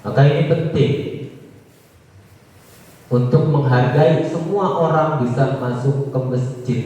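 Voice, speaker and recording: A man's voice: medium pitch, slow, mellow. Guy preaching w tegal javanese accent, chatty rhetorical questions, super echoey hall, sounds far from the mic. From Tegal, Central Java, Indonesia. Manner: conversational, asks rhetorical questions and answers them. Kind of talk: religious talk to a congregation.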